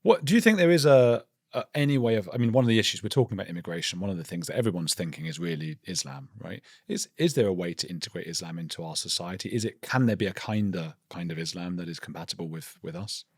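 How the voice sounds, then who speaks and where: Fast-talking deep-voiced male host. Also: animated, a little mellow, breathy edge, dry recording, clean studio sound. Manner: quick, probing questions with short backchannels. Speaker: interview host. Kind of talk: interview questions.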